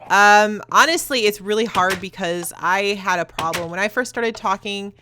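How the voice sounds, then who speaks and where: A young woman hosting a video, talking loud and fast in casual chat as she answers viewer questions while playing a game. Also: mid-range voice, background noise. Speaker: YouTuber host.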